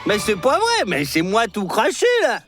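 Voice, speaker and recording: High-pitched, boastful young flying dinosaur. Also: male voice, wildly swooping pitch, moderate pace, over music. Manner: boastful, bossy, swaggering. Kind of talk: children's animated series dub.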